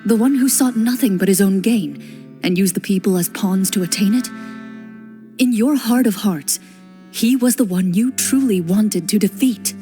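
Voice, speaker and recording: A woman voicing a composed, stern young female duelist in a game cutscene, speaking in a calm, measured, quietly commanding way. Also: medium pitch, soft background music, close to an everyday voice. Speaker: game character, a duelist hunter. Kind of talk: video game cutscene voice acting.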